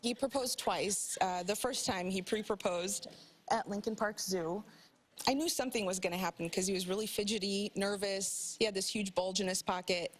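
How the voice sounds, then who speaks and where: A woman's voice: mid-range voice, moderate pace. A woman in her thirties, a talk show guest who tells a story in a chatty way and laughs as she talks. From Polish family in the US.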